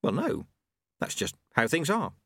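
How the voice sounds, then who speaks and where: A man's voice: a little high, wildly swooping pitch, a little slow, creaky, a bit bright, slightly rough, no room sound, clean studio sound, voice pushed up, heavily acted. A man voicing a young male apprentice monk with a plain, wry way of talking.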